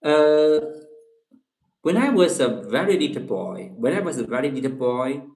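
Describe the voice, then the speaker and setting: A man's voice: on the high side, lively intonation, normal speed, a little mellow, reverberant. A man from China with a Chinese accent, hosting a casual livestream chat with viewers, often repeating himself and tagging his sentences with "okay?". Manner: repeats phrases, tags lines with "okay?". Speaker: livestream host.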